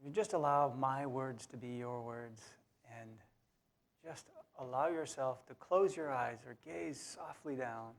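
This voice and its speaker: A man leading a guided meditation in a soothing, unhurried way, leaving long pauses between short phrases. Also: mid-range voice. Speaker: meditation leader.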